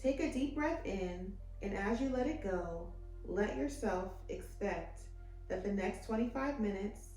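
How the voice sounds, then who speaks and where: Female meditation guide, very unhurried and soothing, echoing room sound, scripted hypnosis-style delivery. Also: medium pitch, lively intonation, a little mellow. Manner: soothing, deliberate, measured phrasing with trailing line endings. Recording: roomy echo, music behind the voice. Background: meditation music.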